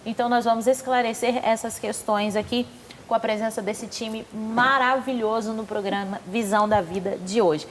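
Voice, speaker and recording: Effusive Brazilian TV talk show hostess. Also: female voice, on the high side, steady tone, a little hiss or hum. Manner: effusive, warm praise for guests, quick asides. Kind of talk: studio talk show hosting. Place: TV studio.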